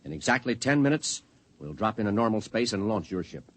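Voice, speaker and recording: Terse space fleet commander, dry sound. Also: male voice, fairly low pitch, sing-song, a little fast, slightly rough, no room sound. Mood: businesslike. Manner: terse, official briefing. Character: sober, businesslike male space fleet commander. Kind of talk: 1950s radio drama acting.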